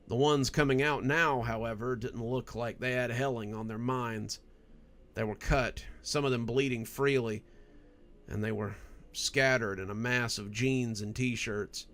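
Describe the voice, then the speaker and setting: A man with a gravelly voice, hosting and narrating scripted horror stories. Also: medium pitch, fairly even intonation, a little slow, a bit bright. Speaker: horror story narrator and channel host.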